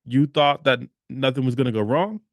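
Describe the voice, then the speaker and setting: A man's voice: mid-range voice, lively intonation, normal speed, no echo. A man hosting a motivational podcast, talking emphatically, repeating phrases and checking in with "okay?". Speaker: podcast host.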